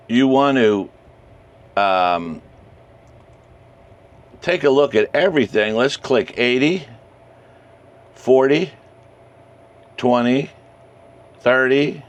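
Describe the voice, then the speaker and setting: A man presenting an informal, encouraging tutorial as an enthusiastic radio hobbyist, walking through things step by step. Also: medium pitch. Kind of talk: informal screen-share tutorial.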